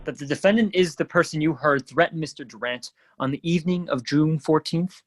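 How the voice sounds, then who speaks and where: A man playing a forceful, accusing district attorney in a radio play, theatrical and emphatic. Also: a little high, fairly quick, no echo, soft background music, close to an everyday voice. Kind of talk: radio play courtroom acting.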